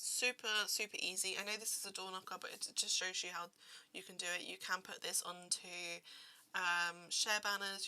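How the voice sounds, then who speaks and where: A young woman, nasal and stuffy from a cold, casually talking through a software tutorial. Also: medium pitch. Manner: casual, chatty, lots of ums. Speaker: tutorial creator. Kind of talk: screen-recorded software tutorial.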